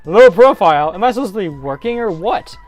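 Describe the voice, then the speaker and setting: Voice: medium pitch, expressive, a little fast, a little mellow, soft background music, natural voice. A narrator voicing a brash, eager young male fantasy hero in a story reading.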